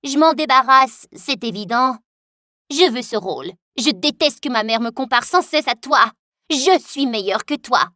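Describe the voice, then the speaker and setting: A woman's voice: on the high side, lively intonation, unhurried, bright, forceful tone, no echo. A woman doing a spiteful, jealous teen rival. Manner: sneering and defiant.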